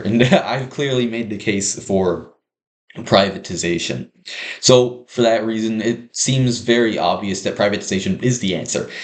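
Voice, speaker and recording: A young man delivering a prepared persuasive speech in an earnest, explanatory manner, like a student speaker. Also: fairly low pitch. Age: young adult. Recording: roomy, echoing sound.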